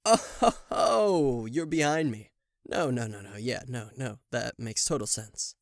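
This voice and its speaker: A man's voice: medium pitch, expressive, unhurried, dry recording, studio quality, pitched below the performer's own voice. A man voicing a theatrical, sarcastic demon king of hell in a roleplay audio drama, proud and showy in manner. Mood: sarcastic, incredulous. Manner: sarcastic, showy, stammering in disbelief. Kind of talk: roleplay audio drama acting addressed to the listener.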